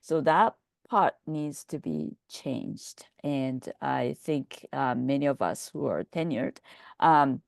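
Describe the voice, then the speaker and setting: A woman's voice: rumbling low, lively intonation, slow pace, vocal fry, a little mellow, no room sound, studio quality. A woman speaking in a virtual panel discussion, hesitant, often repeating her words. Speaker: invited speaker.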